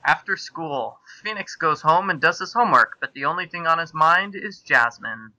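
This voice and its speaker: Male narrator, theatrical and amused, piercingly bright tone, dry close sound, comic fan fiction reading. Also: fairly high pitch, expressive, moderate pace, no echo, low-quality recording.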